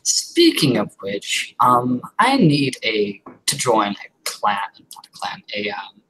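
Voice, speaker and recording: Deadpan man with a bright voice. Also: on the low side, slow, slight background noise, low-quality recording. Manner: dry, deadpan quips. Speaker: tabletop roleplay player. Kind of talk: in-character tabletop roleplay.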